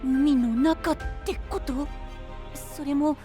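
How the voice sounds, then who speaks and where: A man playing a small, childlike boy, excitable and blunt, exclaiming casually in an anime-style audio drama. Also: very high-pitched, slow, music in the background. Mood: startled.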